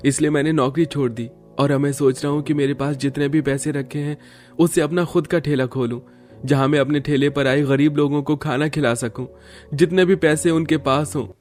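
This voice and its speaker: A man acts out a worried young family man in a cartoon story. His voice sits a little high, and he speaks in an earnest, plaintive way. Music plays behind him. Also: fairly even intonation, moderate pace, darkish tone, close to an everyday voice. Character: worried young husband and son, struggling food-cart seller. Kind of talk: Hindi cartoon story dialogue.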